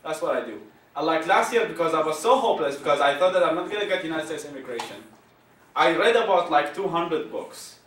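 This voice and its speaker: Young Iraqi man, halting, echoey room. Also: on the high side, animated, a bit bright, slight background noise. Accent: Iraqi Arabic. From Iraq. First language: Arabic. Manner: halting, repeats words, laughs often. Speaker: guest speaker. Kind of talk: Q&A at a talk. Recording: echoey room from far off, faint ambience.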